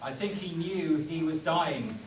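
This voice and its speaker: A man's voice: fairly high pitch, unhurried, far from the mic, close to an everyday voice. A man playing an earnest, commanding pulp adventure hero in a spoof radio play, stumbling over his script. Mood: explaining, earnest. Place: convention stage before an audience. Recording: echoey convention room from afar, audience noise.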